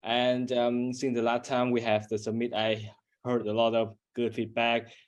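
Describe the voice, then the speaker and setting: A man introducing himself as a community group admin, relaxed and hesitant, with many fillers. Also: medium pitch, unhurried. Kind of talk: casual introduction.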